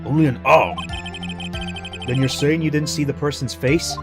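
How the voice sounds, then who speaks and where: A man's voice: medium pitch, moderate pace, over music, close to an everyday voice. A Scottish man voicing an earnest young male defense lawyer, questioning a witness.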